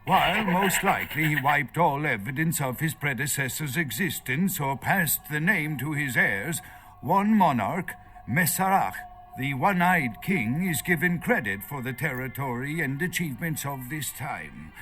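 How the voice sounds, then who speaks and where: Nervous professor type for a game, highish bright voice, lectures and fumbles for words. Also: male voice, animated, a little slow, faint music behind. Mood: earnest, lecturing. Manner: lecturing, hesitant, searching for words. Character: nervous, erudite male foreign archaeologist held captive. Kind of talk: video game voice acting.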